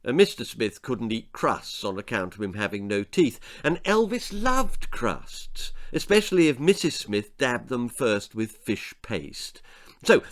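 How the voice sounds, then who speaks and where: Animated, bright-voiced English male storyteller. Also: medium pitch. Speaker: author reading his own story. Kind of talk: expressive children's storytelling.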